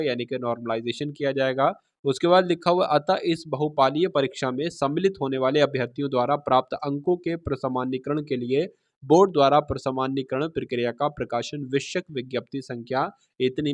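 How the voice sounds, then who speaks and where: A man reading out and explaining official notices for students in a didactic way, paraphrasing as he goes and checking in with listeners. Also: medium pitch. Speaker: exam-prep instructor and channel host.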